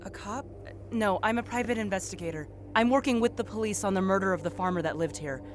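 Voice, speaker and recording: A woman's voice: mid-range voice, fast talker, close to an everyday voice. A woman acting in an audio drama as a determined but rattled private investigator. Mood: insistent. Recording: clearly noisy background ambience.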